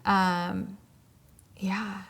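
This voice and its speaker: A woman's voice: mid-range voice, level tone, very slow, creaky, fairly bright tone. A woman from Iraq who grew up in England and Canada and whose first language is Arabic, chatting casually as a podcast guest. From born in Iraq, grew up in England and Canada. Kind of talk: casual chat.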